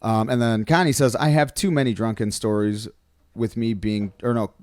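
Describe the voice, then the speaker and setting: A man's voice: fairly low pitch, fairly even intonation, normal speed, darkish tone, no echo, studio quality. A man co-hosting a live stream, telling stories in a casual, slangy, profane way. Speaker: co-host of a live stream show. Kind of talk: casual banter and storytelling.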